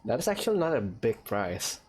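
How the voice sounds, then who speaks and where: A woman giving casual, halting commentary as she plays and reacts to a video game. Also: very deep voice, sing-song, darkish tone, a little hiss or hum. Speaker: let's-play host.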